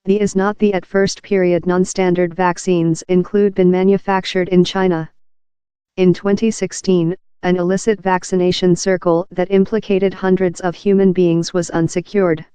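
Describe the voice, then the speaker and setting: A woman's voice: lowish voice, dark tone, clear, resonant tone, no room sound. A woman reading scripted news narration in a mechanical, read-aloud manner.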